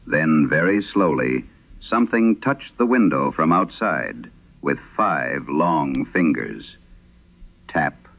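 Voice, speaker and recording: A man performing as a late-night radio host, solemnly reading an eerie letter in measured, deliberate phrases through an old radio filter, in a vintage horror drama style. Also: rumbling low, heavily acted. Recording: tinny band-limited old radio sound.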